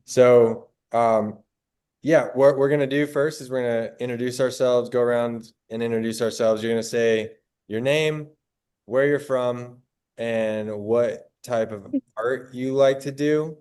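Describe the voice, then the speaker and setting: A man's voice: mid-range voice, fairly even intonation, unhurried, darkish tone, smooth. A man from Colorado hosting a casual artists' meetup, talking hesitantly with many fillers. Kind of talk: casual group introduction.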